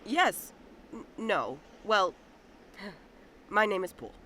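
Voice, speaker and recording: A woman's voice: medium pitch, big pitch swings, bright, forceful tone, slight background noise, heavily acted. A woman playing a humble, sincere young hunter in an audio drama, hesitant and bashful. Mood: apologetic. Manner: hesitant, unhurried.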